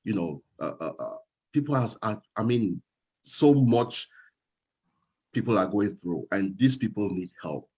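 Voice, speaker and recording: A man's voice: lowish voice, lively intonation, measured pace, darkish tone, husky, dull audio. A young man from Cameroon speaking as a guest in a casual interview, hesitant, with many fillers and restarts. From Cameroon, Northwest. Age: young adult.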